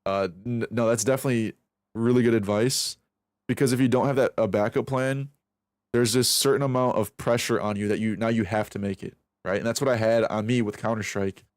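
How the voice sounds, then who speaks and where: A man's voice: mid-range voice, fairly even intonation, fairly quick, no echo. Twenty-something guy streaming, swears a lot, keeps restarting sentences, dead dry mic. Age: 20s. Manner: rambling, profane, self-reflective, restarts a lot. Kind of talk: casual stream chat while aim training.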